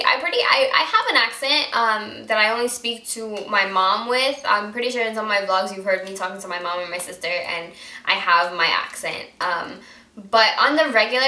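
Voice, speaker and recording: Bright young woman chatting in an echoey room. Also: on the high side. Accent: mostly American, with traces of Guyanese. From Guyana. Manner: rambling and chatty, with many fillers and restarts. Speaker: beauty vlogger. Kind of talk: casual vlog chat.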